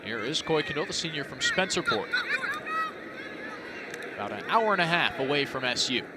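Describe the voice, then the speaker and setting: An American man calling live televised sports as a play-by-play commentator, his delivery animated and rising with the action. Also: on the high side, normal speed. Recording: loud crowd and stadium noise behind.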